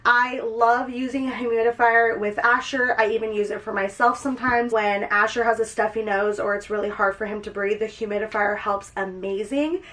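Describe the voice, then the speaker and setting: A young woman with a slightly high voice talks casually to camera at home, chatty and upbeat. She has a cold, so she sounds a little stuffy and nasal. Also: fairly even intonation, normal speed, few pauses, fairly bright tone. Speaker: vlogger. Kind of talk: casual product walkthrough.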